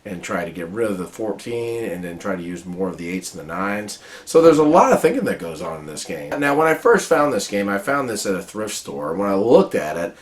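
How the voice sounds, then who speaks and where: A man reviewing a board game and explaining its rules in a casual, chatty way, his voice a little raspy from a cold. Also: lowish voice, fairly quick, hardly any pauses. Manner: relaxed, chatty explaining with many fillers. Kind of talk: casual how-to-play review.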